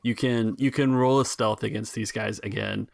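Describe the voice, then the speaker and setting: A man's voice: on the low side, fairly even intonation, moderate pace, creaky, dry recording, clean studio sound. A man running a tabletop roleplaying game, casually narrating and giving rulings. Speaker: game master.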